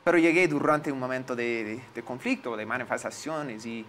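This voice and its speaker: A man in his forties with an American accent, a native English speaker, recalling his experiences in an interview and pausing to search for words. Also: mid-range voice, a bit bright, a little hiss or hum. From United States. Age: 40s. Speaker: lawyer, interview guest. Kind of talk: interview, personal recollection.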